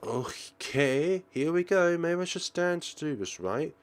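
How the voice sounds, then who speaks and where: A man's voice: a little high, animated, unhurried, clear, resonant tone. A man reading a visual novel aloud as a let's player, theatrical, sometimes stumbling and rereading phrases. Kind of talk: game commentary with dramatic read-aloud narration.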